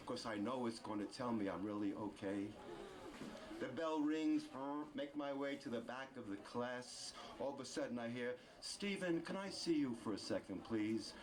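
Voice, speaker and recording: An older man from Brooklyn with a New York accent, telling a spoken-word comic story at a live venue, animated and full of sound effects. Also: medium pitch. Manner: animated, with vocal sound effects and quick character voices. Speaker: storyteller performer. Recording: noisy live room recording.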